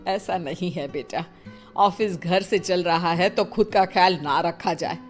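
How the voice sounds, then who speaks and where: A woman's voice: fairly low pitch, measured pace, soft background music, lightly acted. A woman voicing a protective elderly mother-in-law in a cartoon story, motherly, insistent and admonishing. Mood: coaxing.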